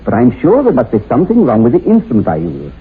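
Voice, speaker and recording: An actor playing a perplexed physician, halting and formal, in a radio horror drama. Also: male voice, medium pitch, huge pitch swings, husky, exaggerated voice. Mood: baffled, reluctant. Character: perplexed, hesitant male physician. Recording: tinny, hissy old radio drama recording.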